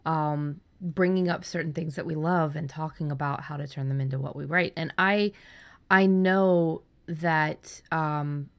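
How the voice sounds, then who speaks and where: A woman's voice: low voice, fairly even intonation, measured pace. A woman hosting a casual writing podcast, talking candidly and enthusiastically, with some casual swearing. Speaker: podcast host and fiction writer.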